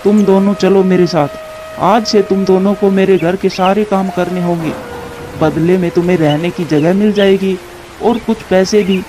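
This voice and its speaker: Man voicing a high-pitched stingy crow landlord. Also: steady tone, moderate pace, lightly acted. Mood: firm, bossy. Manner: stern, demanding. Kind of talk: Hindi cartoon dialogue.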